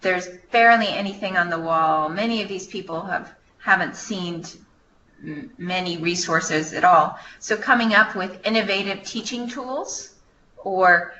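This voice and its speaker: A woman's voice: on the low side, measured pace, bright, forceful tone. A woman staff member informally answering questions on a conference call, explaining in a hesitant way as she thinks aloud. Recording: muffled, noisy conference call line.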